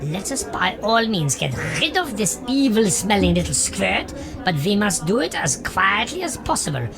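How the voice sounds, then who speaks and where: A creaky, wildly swooping witch villain. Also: mid-range voice, big pitch swings, moderate pace, over music. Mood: scolding, scheming. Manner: commanding, theatrical, oddly foreign-sounding phrasing. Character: Grand High Witch, imperious menacing female villain. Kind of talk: dramatised audiobook acting.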